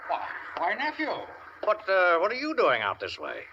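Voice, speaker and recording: A man's voice: sits high, huge pitch swings, moderate pace, sharp tone, exaggerated voice. An actor playing a sly, menacing older uncle, a carnival man with a folksy, falsely affectionate manner, in an old-time radio thriller. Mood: folksy concern. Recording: dull, noisy old radio recording.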